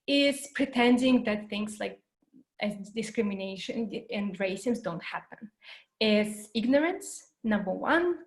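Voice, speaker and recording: A woman who grew up in New Zealand, giving an online educational talk in an earnest way, with many fillers and repeated words. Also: mid-range voice. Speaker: workshop presenter. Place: home, Berlin.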